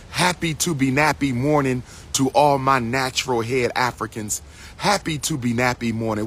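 A man's voice: mid-range voice, fairly bright tone, slight background noise. Middle-aged man, preachy emphatic monologue, phone at arm's length, outdoor park, faint outdoor ambience. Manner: preachy, emphatic, repetitive, rising to declamation. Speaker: lecturer addressing followers. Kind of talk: impassioned selfie video monologue.

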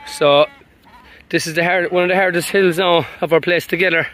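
A man's voice: a little high, fairly even intonation, fairly quick, fairly bright tone, slight background noise. An Irish man, a sheep farmer, chatting casually while he herds sheep on a hillside and calls to his dogs. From Ireland. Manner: calls sharply to the dogs between chatty asides. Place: open mountain hillside outdoors.

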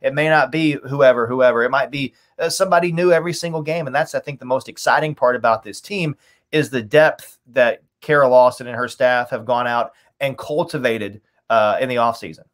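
A man's voice: mid-range voice, brisk. A man in his thirties talking sports enthusiastically as a podcast guest, repeating phrases for emphasis. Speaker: radio play-by-play announcer as podcast guest. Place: small furnished room at home, on a video call.

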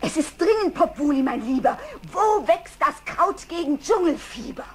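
A woman playing an excitable little parrot messenger who talks in rhymes, in a children's radio play. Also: high voice, moderate pace. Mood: eager. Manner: speaks in squawky rhymes.

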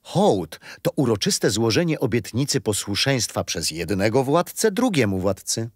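Lively, patient storytelling grandfather. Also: mid-range voice, moderate pace, husky, no room sound, clean studio sound. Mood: patient, amused. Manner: patient, gently amused storytelling. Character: kindly, patient grandfather explaining history to grandchildren.

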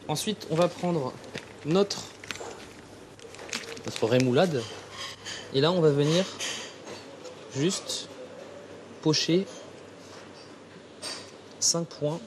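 A man, a chef explaining his work haltingly in an interview. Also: a little high, background noise. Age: 30s. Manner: halting, searching for words. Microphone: lapel mic.

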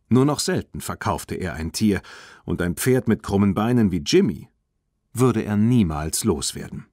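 A man narrating a children's audiobook in an expressive, dramatic storytelling manner. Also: fairly low pitch, dry recording, studio quality.

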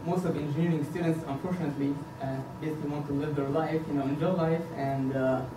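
A man's voice: on the high side, steady tone, fast talker. A man in his thirties from Egypt, giving an earnest campaign speech at a podium, with frequent hesitations and fillers. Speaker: board candidate. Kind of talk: campaign presentation. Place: meeting room indoors, at a podium. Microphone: far camera. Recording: echoey room from a distance, background hiss.

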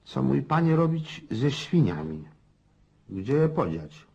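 An actor playing a blustering, self-important old countryside landowner in a radio play, rambling and folksy with a husky voice. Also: male voice, mid-range voice, big pitch swings, slow, mellow, muffled recording, heavily acted. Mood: puzzled musing.

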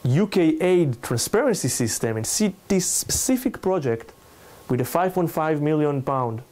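A man's voice: on the high side, very animated, darkish tone. Israeli-accented man giving a public lecture. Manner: halting non-native English, emphatic. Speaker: lecturer, NGO researcher. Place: indoor salon room, speaking to a small audience.

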